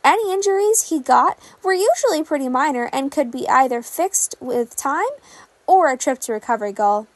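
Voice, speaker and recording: A woman's voice: sits high, expressive, moderate pace, no room sound. A woman reading fan fiction aloud as a scripted story narration.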